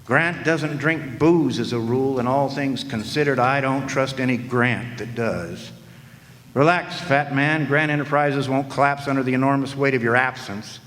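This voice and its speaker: A man's voice: medium pitch, normal speed, fairly bright tone, lightly acted. A man playing a wisecracking, world-weary private eye in old-time radio noir style, narrating with sardonic slang. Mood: jaded, sardonic. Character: wisecracking, world-weary hard-boiled male private detective. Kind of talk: 1940s radio noir acting, first-person narration. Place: live stage performance in a hall. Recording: echoey hall, background noise.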